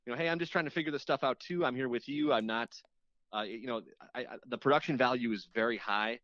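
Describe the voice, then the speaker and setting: A man with a mid-pitched voice speaks as a guest on a casual show. He rambles through anecdotes in halting bursts, slipping into quoted reactions, with no room sound around him. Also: a bit bright, no room sound, muffled recording. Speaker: podcast guest, radio host. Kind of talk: casual reminiscing chat.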